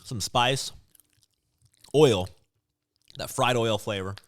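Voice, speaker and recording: A man's voice: medium pitch, takes it slowly. A man co-hosting a podcast in casual snack-tasting chat, informal and given to repeating short phrases. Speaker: podcast co-host.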